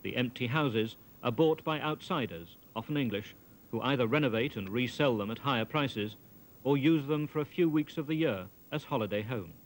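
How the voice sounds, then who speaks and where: A man reading documentary narration in a measured, matter-of-fact voice. His pitch sits in the middle range for a man. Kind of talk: scripted narration.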